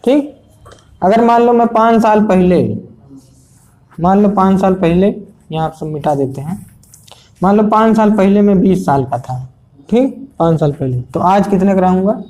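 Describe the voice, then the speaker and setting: A man's voice: high voice, normal speed, pauses often, dark tone, slight background noise. A male teacher explaining age problems step by step for an entrance exam, repeating rules and often checking whether students follow. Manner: repetitive, checks understanding often. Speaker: math teacher. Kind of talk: exam prep lesson at a board.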